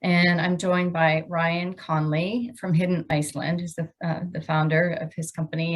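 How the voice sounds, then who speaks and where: A woman, founder of a travel company, hosting a webinar presentation, speaking hesitantly with frequent pauses and restarts. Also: low-pitched. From United States. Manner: hesitant, many fillers and restarts.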